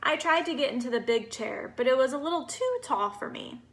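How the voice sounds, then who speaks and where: A woman voicing a cheerful, naive little male snowman who tells his storybook tale in a bubbly, expressive way. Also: sits high, fairly quick. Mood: chatty, innocent.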